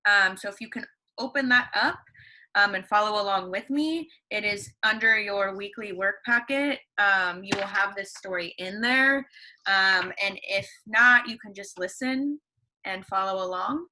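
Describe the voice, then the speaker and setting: A woman's voice: mid-range voice, measured pace, sharp tone, low-quality recording. A woman teacher reading a story aloud to her class, chatty, with lots of fillers and questions to the children.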